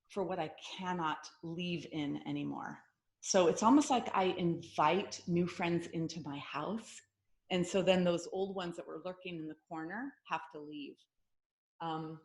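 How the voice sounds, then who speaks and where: A middle-aged woman, a health coach, speaks informally on a video call, chatty and earnest, wandering between points as she leads a workshop. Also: fairly low pitch. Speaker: yoga therapist and health coach hosting a workshop. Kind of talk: informal online workshop talk.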